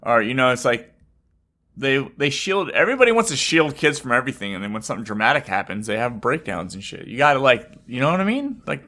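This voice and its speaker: A man's voice: medium pitch, sing-song, quick pace, sharp tone. A man in his thirties chatting casually over a video call, swearing freely and restarting his sentences. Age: 30s. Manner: profane, rambling, restarts a lot. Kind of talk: casual chat.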